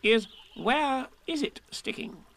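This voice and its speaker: A man playing a fussy, self-important rabbit in a storybook, musing aloud with pompous care. His voice sits high and swoops as he goes. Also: expressive, slow, gravelly, fairly bright tone, slight background noise, exaggerated voice.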